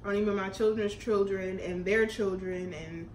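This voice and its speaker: A woman in her mid-twenties from Houston co-hosting a casual Q&A while eating, relaxed, chatty and quick to laugh. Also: mid-range voice. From Houston, Texas, US. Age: 20s. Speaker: YouTube co-host. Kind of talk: casual chat during a mukbang Q&A. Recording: echoey room with faint noise.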